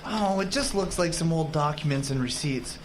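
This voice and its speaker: A man's voice: on the high side, lively intonation, moderate pace, natural voice. A man playing an eager, stubborn, teasing young husband in an audio drama. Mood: determined. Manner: eager, coaxing. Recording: clearly noisy amateur radio drama recording.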